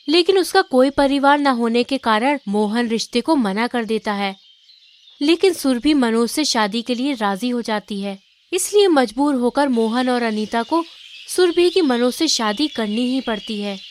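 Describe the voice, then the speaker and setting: High-voiced woman narrating a story. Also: animated, measured pace, a little hiss or hum.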